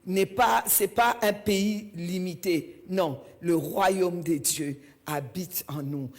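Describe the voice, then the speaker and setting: A woman from Belgium, preaching a sermon in a church and stressing her points by repeating key phrases. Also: lowish voice.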